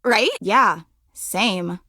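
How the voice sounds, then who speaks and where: A woman voicing a bubbly, devoted superfan in a video game, with an excitable, dramatic, slangy way of gushing. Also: mid-range voice, extremely slow, no room sound, clean studio sound, exaggerated voice. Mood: warm, smitten. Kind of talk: video game character voice acting.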